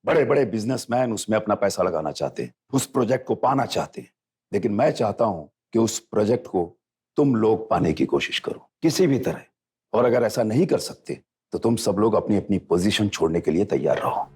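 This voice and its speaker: A man's voice: fairly low pitch, lively intonation, fairly quick, a little mellow, husky. A man playing a stern elderly grandfather and business patriarch in an audio drama, authoritative and commanding. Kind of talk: Hindi audio drama acting.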